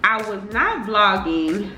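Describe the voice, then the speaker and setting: A woman's voice: medium pitch, very animated, slow pace, bright, forceful tone, reverberant, a little hiss or hum. A young woman chatting casually to her viewers in informal slang, sounding congested from a cold. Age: young adult. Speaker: beauty vlogger. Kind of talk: casual chatty get-ready-with-me talk.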